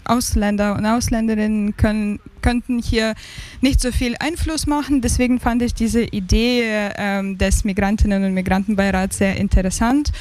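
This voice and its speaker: A woman's voice: medium pitch, fairly even intonation, moderate pace, darkish tone. A woman in her thirties from Ukraine with a Ukrainian accent, answering as an interview guest and hesitantly searching for words. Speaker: interview guest, advisory board member.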